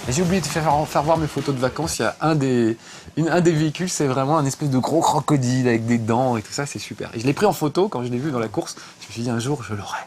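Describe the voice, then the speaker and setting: A man's voice: medium pitch, fast talker, a little mellow, faint music behind. A man hosting a video game show, chatting playfully and mocking himself as he plays. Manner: jokey, self-mocking, chatty with many fillers. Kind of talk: playful gaming chat while playing.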